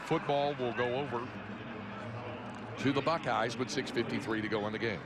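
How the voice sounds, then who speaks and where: A man's voice: mid-range voice, normal speed. A man calling live football play-by-play as a game announcer. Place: football stadium broadcast position. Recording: crowd roar and loud stadium noise. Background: loud crowd and stadium ambience.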